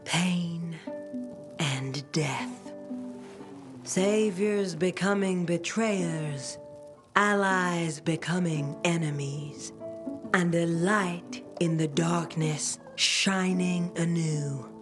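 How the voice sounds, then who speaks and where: An actress voicing a cryptic woman seer who speaks in slow, hushed, ominous prophecies. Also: fairly low pitch, music in the background. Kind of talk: video game cutscene.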